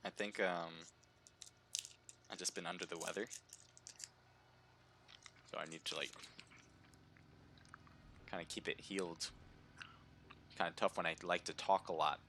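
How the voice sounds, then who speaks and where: A man giving chatty play-by-play commentary on a live mobile game match, his voice slightly hoarse from a sore throat. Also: low-pitched, fairly even intonation, measured pace, pauses often, sharp tone, slight background noise. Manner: chatty, upbeat, reads viewer names aloud.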